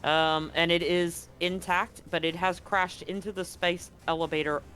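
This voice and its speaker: A man's voice: high-pitched, little pitch movement, pushed and bright. A man running a tabletop science fiction game as game master, narrating scenes and rules with frequent restarts. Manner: halting, restarts mid-sentence while describing scenes.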